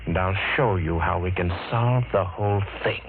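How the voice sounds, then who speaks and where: An actor playing a ruthless, scheming murderer in an old-time radio mystery, menacing and sardonic in manner. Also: male voice, sits low, normal speed, loud music behind the voice. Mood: sly scheming. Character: ruthless, scheming, cold-blooded murderer cousin. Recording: tinny vintage radio, faint noise.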